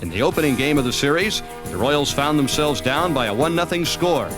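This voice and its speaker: An American man reading scripted sports documentary narration as the narrator of a highlight film. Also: lively intonation, a little fast. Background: background music.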